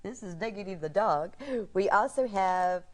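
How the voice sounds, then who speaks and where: A woman's voice: medium pitch, normal speed. A woman presenting products as a co-host on live shopping television, talking in a chatty way.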